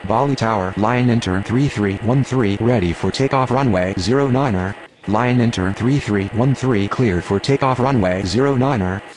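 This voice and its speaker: A man's voice: on the low side, normal speed, darkish tone, slight background noise, lightly acted, poor audio. A man voicing a businesslike airline pilot and air controller in a game, speaking clipped, matter-of-fact radio phraseology.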